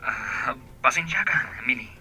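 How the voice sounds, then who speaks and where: A young boyfriend with a mid-pitched, very bright and forceful voice. He is coaxing and evasive, always sweet-talking, in the style of a radio drama. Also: lively intonation, a little slow, soft background music, rough recording. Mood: apologetic.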